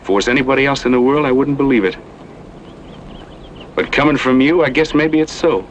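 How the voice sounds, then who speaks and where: An actor playing a cocky, easygoing gunslinger with a folksy American Western drawl in a radio drama. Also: male voice, mid-range voice, brisk, muffled recording, natural voice. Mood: taunting, incredulous. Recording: noisy old radio drama recording.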